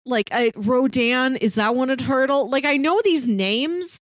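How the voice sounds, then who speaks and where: A woman's voice: high-pitched, a little slow, dry recording, studio quality. A woman talking casually and conversationally as a podcast co-host.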